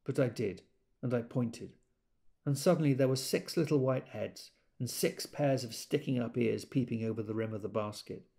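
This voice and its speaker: An actor playing a wry British character in an audio drama, telling memories conversationally and quick to exasperation. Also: male voice, medium pitch, vocal fry, warm tone, close to an everyday voice. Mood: reflective, understated. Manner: conversational, acts out quoted speech. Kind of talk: intimate audio drama monologue.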